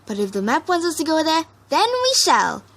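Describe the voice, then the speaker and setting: An earnest, decisive alicorn mare voiced by a woman reading a story. Her voice is fairly high and animated, with a kind, reasoning manner. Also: fairly quick, exaggerated voice. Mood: determined.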